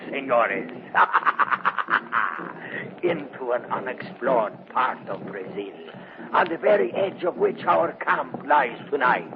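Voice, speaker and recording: A male actor playing a sinister, gloating Latin American guide and high priest, mock-courteous and menacing, in old radio drama style. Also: vocal fry, exaggerated voice. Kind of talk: 1950s radio drama acting. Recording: noisy, muffled old radio recording.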